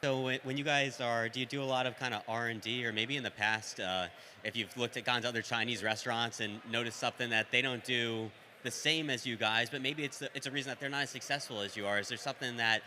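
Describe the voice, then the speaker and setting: A man conducts an interview in a very bright voice, asking long, rambling, friendly questions. Light noise sits in the background. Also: mid-range voice, fairly even intonation, fast talker.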